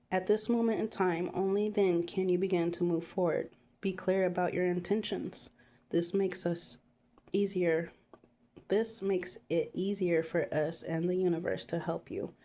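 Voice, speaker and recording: A woman with a stuffy nose from a cold, giving a calm, unhurried tarot card reading to her viewers with many pauses. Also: fairly low pitch, a little hiss or hum.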